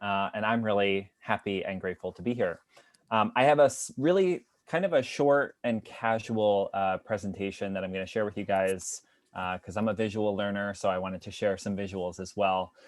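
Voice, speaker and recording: A man's voice: on the low side, moderate pace, dry recording, studio quality. A young man from Long Island, New York, speaking as a guest in a casual, friendly presentation, upbeat and grateful, with frequent ums. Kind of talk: casual presentation to an online audience.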